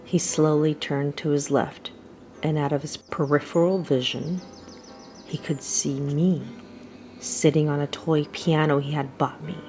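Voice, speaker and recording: Low-voiced woman telling a story over music. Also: fairly even intonation, warm tone. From United States, Southern California. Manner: theatrical, conversational, with dramatic pauses and Spanish asides. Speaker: storyteller host. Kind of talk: personal scripted storytelling. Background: music playing behind the voice.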